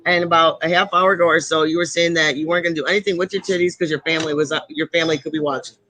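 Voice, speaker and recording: A woman with a deep voice, a blunt and heavily swearing guest chatting casually about metal. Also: fairly even intonation, racing, a bit bright, poor audio. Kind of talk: casual chat.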